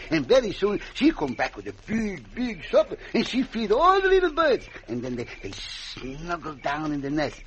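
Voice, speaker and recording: Muffled noisy old radio western, a simple kindly mexican sidekick, excitable broken english. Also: male voice, fairly high pitch. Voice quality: broken English, stammering repeats.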